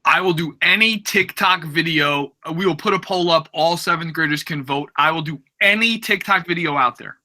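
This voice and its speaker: A man's voice: a little high, normal speed, hardly any pauses, pushed and bright. A man teaching middle school, bantering casually and playfully with students in informal, slangy talk.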